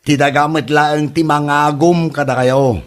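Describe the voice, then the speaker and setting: A man's voice: fairly high pitch, fairly even intonation, measured pace, lightly acted. A man playing an exasperated grown son in a comedy drama, pleading earnestly. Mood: worried, reproachful. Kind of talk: Ilocano comedy drama acting.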